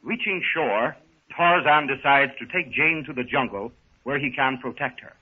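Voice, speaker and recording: A man's voice: medium pitch, expressive, normal speed, husky. A man announcing a 1930s radio serial from a script, formal and dramatic. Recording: thin, dull 1930s radio recording.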